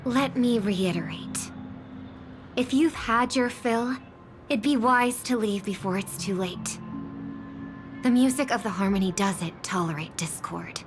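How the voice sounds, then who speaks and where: A woman acting a solemn, principled young heroine in a video game, speaking in an earnest, measured, resolute way. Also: mid-range voice, close to an everyday voice. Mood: guarded. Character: solemn, principled young sister, guardian of harmony. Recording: music under the voice. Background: music.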